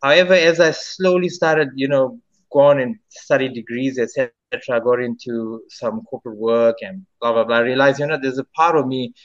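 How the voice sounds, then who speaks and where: A man's voice: mid-range voice, steady tone, moderate pace, highs cut off. A South African singer in his thirties, answering interview questions in a rambling way with many restarts. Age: 30s. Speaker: singer-songwriter guest.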